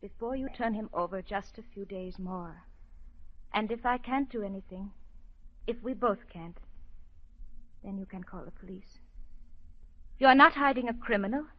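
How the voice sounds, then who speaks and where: A woman's voice: medium pitch, moderate pace, pauses often, lightly acted. An actress playing an earnest, devoted young woman psychiatrist who pleads urgently, in 1940s radio acting. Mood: pleading. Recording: dull 1940s radio broadcast.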